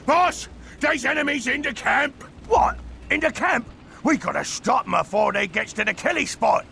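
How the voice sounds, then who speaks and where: A man's voice: extremely high pitch, expressive, moderate pace, sharp tone, a little hiss or hum, exaggerated voice. A man voicing a brutish, blustering orc warrior for a video game cutscene, crude and guttural. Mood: alarmed, bellowing. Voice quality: gravelly, guttural. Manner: crude, bellowing, rough broken grammar. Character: brutish orc warband fighters and their blustering boss.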